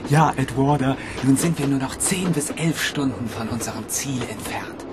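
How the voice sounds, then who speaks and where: An actor playing a genteel, composed young gentleman student in a radio drama. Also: mid-range voice, normal speed, close to an everyday voice. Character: young well-to-do gentleman student, gallant fiancé. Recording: loud background noise under voice.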